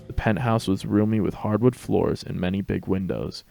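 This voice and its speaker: A man's voice: sits low, normal speed, vocal fry, over music. A man narrating a scripted story as a dramatic reading.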